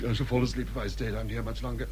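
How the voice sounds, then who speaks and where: An actor plays a hearty young English country gentleman in a period drama. His voice sits in the middle of the male range and his manner is easygoing and offhand. There is faint background noise on the recording. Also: medium pitch, little pitch movement, racing, lightly acted. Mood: sleepy, offhand. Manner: bluff, offhand, genial. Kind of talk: British period radio drama acting.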